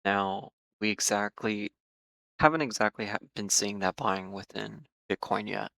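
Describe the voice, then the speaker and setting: A man hosting market commentary for viewers, speaking in a measured, cautious way with frequent ums and repeated words while losing his voice. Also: fairly low pitch, creaky, pushed and bright, no room sound, rough recording. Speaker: market analysis host.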